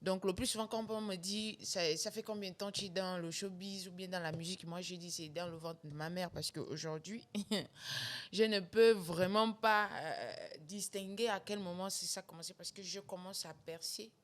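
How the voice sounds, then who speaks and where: This is a young woman from Gabon, worn out from a sleepless night as a new mother. Her voice sits a little low. She pauses on fillers and laughs between phrases as she tells her story. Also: steady tone. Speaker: singer guest. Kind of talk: casual interview talk.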